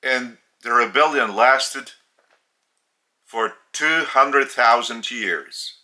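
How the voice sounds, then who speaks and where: Male spiritual teacher, Lithuanian accent, slow, very bright tone. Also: medium pitch. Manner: deliberate, halting, with long pauses.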